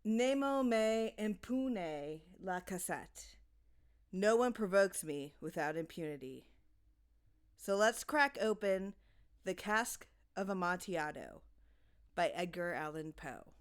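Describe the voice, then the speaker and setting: A woman narrating a classic story in a dramatic audiobook style, with theatrical, deliberate phrasing. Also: on the low side, lively intonation, clear, resonant tone.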